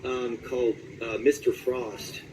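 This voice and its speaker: A man with a mid-pitched voice talks casually as a voice actor being interviewed. He feels his way through recollections in a halting manner, pausing to search for the right detail. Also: flat delivery, mellow, slight background noise, poor audio.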